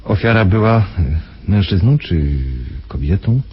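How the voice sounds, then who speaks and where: An actor playing a probing, sardonic police lieutenant with a husky, rough voice in a radio crime drama. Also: male voice, fairly low pitch, lively intonation, measured pace, full character voice. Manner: hesitant, probing, dryly ironic. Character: probing, sardonic male homicide lieutenant posing as criminal client. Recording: dull, muffled radio recording.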